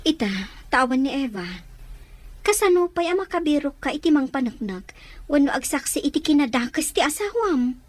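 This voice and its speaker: A woman's voice: high voice, animated, moderate pace, no echo. A woman acting a concerned younger sister in a radio drama, earnest and urging.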